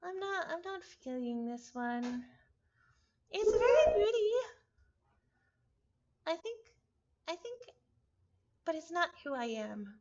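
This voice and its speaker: Female visual novel reader, high-pitched, expressive narrator who chats casually, slightly dull lo-fi sound. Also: slow pace, pauses often. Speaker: streamer reading a visual novel aloud.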